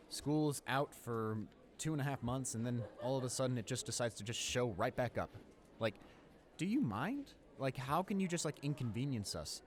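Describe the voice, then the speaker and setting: A young man playing a friendly, easily flustered high school senior in a roleplay audio drama, with an awkward, rambling, hesitant way of talking. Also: natural voice. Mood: casual complaining. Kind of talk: roleplay audio drama, casual conversational acting.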